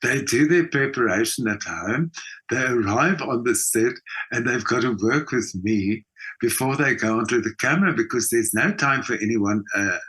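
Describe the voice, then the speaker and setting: A man's voice: medium pitch, moderate pace, a bit bright, breathy edge. A South African man speaking emphatically as a conversational interview guest, repeating phrases for stress. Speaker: interview guest, theatre performer and mentor.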